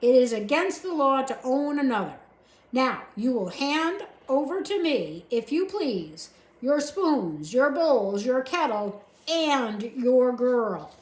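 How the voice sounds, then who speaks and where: A woman voicing a dutiful male soldier who speaks formally and shouts slowly to be understood, recorded in an echoey room. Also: high voice, sing-song, voice pushed up, full character voice.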